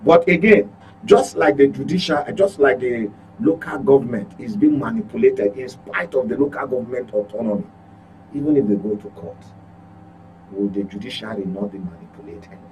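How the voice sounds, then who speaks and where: A Nigerian man delivers opinionated political commentary in a medium-pitched voice. He is emphatic, repeating his points and posing rhetorical questions to press them home. A clear humming noise sits behind his voice. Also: normal speed, darkish tone, rough recording. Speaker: journalist, commentator. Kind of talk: current affairs talk, opinionated commentary.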